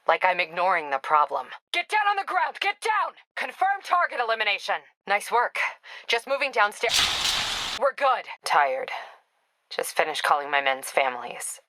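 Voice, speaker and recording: A woman's voice: medium pitch, bright, forceful tone, no room sound. An American woman playing a tough, driven police captain in a video game, speaking in a clipped, authoritative, dry manner. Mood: stern, frustrated, then urgent, commanding, then weary, bitter.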